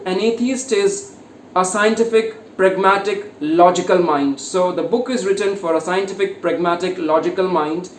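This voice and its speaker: A high-pitched man from India, a guest author, who sets out definitions point by point in a patient, lecturing way. He sounds distant from the mic in an echoey room, with faint noise behind him. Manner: lecturing, defines terms step by step. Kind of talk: explanatory interview answer.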